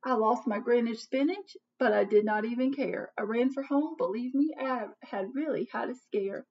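A woman's voice: on the high side, moderate pace. A woman in her thirties reads a children's picture book aloud expressively and dramatically, like a teacher putting questions to young listeners. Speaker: children's story reader. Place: small home office, indoors. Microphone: computer webcam at desk distance. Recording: dull webcam audio, distant from mic.

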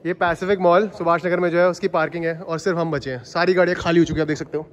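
A man's voice: on the high side, fairly even intonation, rapid-fire, dull audio. Boisterous young man teasing his friends. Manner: teasing, boisterous, swears freely. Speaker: vlogger. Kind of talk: casual banter with friends. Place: indoor mall corridor and parking garage at night.

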